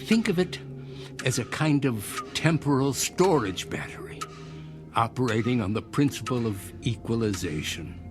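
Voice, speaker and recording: An actor playing an eccentric older inventor in a radio drama, speaking in measured, formal, professorial phrases with cryptic, patient persuasion. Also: male voice, medium pitch, lively intonation, music in the background, natural voice. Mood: quietly insistent.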